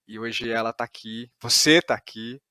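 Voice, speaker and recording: A man's voice: mid-range voice, moderate pace, pushed and bright, smooth, no echo, studio quality. A man with a São Paulo Brazilian accent hosting a podcast interview, talking with hesitations and restarts. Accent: Brazilian Portuguese, São Paulo. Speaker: podcast host. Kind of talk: podcast interview, opens reading a synopsis aloud.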